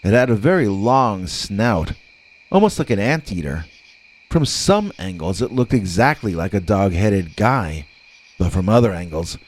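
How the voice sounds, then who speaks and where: A man reading scripted scary stories as a narrator, with dramatic, deliberate delivery and drawn-out words. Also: on the low side, darkish tone.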